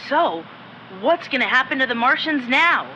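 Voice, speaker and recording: A woman's voice: high voice, sing-song, normal speed, bright, forceful tone, slight background noise, full character voice. A woman playing a wide-eyed, naive junior airman in a comedic radio drama, with a gee-whiz manner. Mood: awed. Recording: dull sound with faint vehicle-like ambience.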